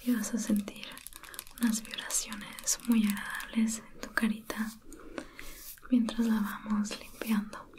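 A woman speaking in a soft, breathy whisper, gently and attentively guiding a listener through an ASMR spa roleplay as a soothing facial therapist. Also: mid-range voice, level tone, a little slow.

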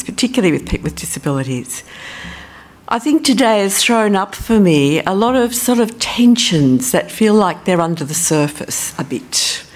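Low-voiced Australian woman giving a talk. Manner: relaxed, self-deprecating, thinking aloud with fillers. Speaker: conference speaker, professor. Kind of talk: conference presentation.

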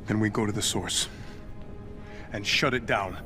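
A man playing an earnest, steadfast soldier superhero in his thirties, grave and measured, in a video game. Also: lowish voice, close to an everyday voice. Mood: resolute. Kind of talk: cinematic video game acting. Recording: music under the voice.

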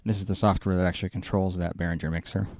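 A man's voice: low voice, level tone, racing, a little mellow, dry recording, studio quality. A middle-aged man giving an informal technical walkthrough, explaining step by step and often correcting himself as he goes. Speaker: video production engineer giving a tutorial. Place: small indoor production control room. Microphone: close boom-mounted microphone.

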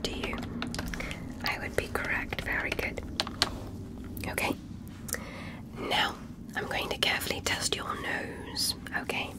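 A woman whispering in an ASMR roleplay as a courteous alien doctor, giving careful, formal instructions in a breathy voice. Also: low-quality recording. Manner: slow, careful, gently instructing, formal wording. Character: curious, courteous alien doctor examining a human. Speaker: ASMR performer playing an examiner.

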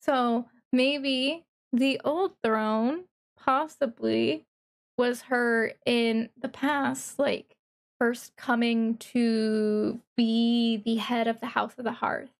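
A woman VTuber giving reaction commentary on a game trailer in a thoughtful, analytical, halting way. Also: a little high, fairly even intonation. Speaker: VTuber streamer.

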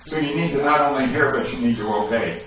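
A man's voice: medium pitch, quick pace, fairly bright tone, slight background noise. A middle-aged man preaching a sermon and reading scripture aloud in a church sanctuary. He speaks through a headset mic, gestures as he talks and rises to emphatic questions. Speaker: pastor. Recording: echoey sanctuary, recorded from a distance.

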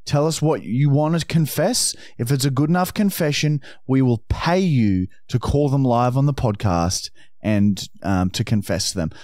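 A man's voice: mid-range voice, normal speed, a little mellow, dry recording, studio quality. A young Australian man hosting a comedy podcast, bantering casually and swearing freely. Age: young adult. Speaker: podcast host. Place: small podcast studio indoors. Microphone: boom-arm podcast microphone with headphones.

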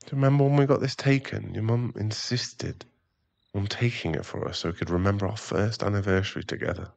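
A man playing a remorseful, tender boyfriend and expectant father in an intimate ASMR roleplay, speaking softly in halting, stammered phrases. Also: on the low side, fairly even intonation, vocal fry, no room sound. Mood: fond, wistful. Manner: halting, stammering, soft-spoken apology.

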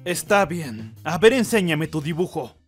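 A man's voice: a little high, big pitch swings, unhurried, faint music behind. A man playing a cocky, short-tempered military captain in a comedic anime parody dub, stern and sarcastic. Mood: stern, lecturing. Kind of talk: comedic fandub parody acting.

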